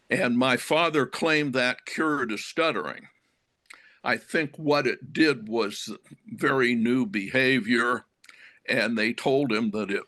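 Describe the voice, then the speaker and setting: An older man, a former professor, telling a personal story to a discussion group in a slow, reflective, apologetic way. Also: mid-range voice, fairly bright tone, no echo. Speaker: retired professor who stutters.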